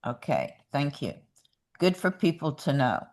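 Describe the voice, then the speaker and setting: A woman's voice: sits low, sing-song, unhurried, a little mellow. A woman hosting a webinar, inviting callers to unmute and answering their stories with brief encouragement. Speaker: webinar host. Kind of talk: hosting testimonial call-ins.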